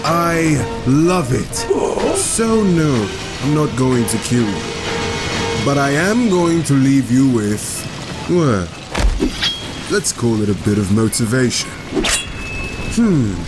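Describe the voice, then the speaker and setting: A man's voice: mid-range voice, animated, takes it slowly, pauses often. A man playing a gleeful, sadistic supervillain who taunts theatrically and mockingly in a comic book dub. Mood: gleeful taunting. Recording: dramatic music behind voice.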